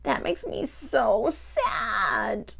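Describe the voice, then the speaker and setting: Woman doing a puppet puppy boy, sad, halting, slightly high, slow. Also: huge pitch swings, creaky, fairly bright tone, breathy edge, heavily acted. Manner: tearful, halting.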